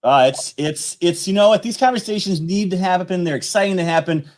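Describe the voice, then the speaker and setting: Male host, high voice, casual, halting delivery. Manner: stumbling, repeats words. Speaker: interview host. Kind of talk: casual online interview hosting.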